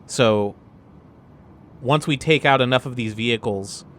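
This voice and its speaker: A man's voice: medium pitch, a little slow. Casual man at a game table, faint noise. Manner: informal, jokey. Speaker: tabletop RPG player.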